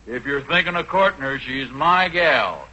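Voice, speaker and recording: Cocky folksy cowboy, bright-voiced. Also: male voice, medium pitch, lively intonation, normal speed. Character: folksy Old West cowboy rival, drawling and cocky. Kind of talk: 1940s radio comedy acting. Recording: dull, noisy 1940s radio recording.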